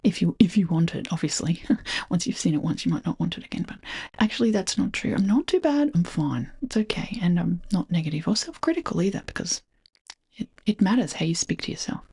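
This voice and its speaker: A soft-spoken Australian woman reads aloud and thinks out loud, slipping often into a whisper. Her voice sits a little low and carries a husky, breathy edge. She is close on the microphone, as in a voice-over. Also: animated, fairly quick. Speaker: channel host reading an old book. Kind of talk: soft-spoken rambling read-through. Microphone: close voice-over mic.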